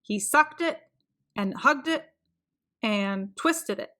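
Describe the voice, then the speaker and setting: A woman reading a children's picture book aloud in a slow, expressive, sing-song manner. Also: a little high. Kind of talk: read-aloud storytelling.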